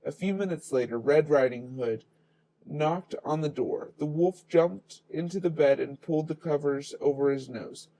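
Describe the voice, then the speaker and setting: A man's voice: on the high side, normal speed, warm tone, low-quality recording. A man reading a children's fairy tale aloud as the storyteller.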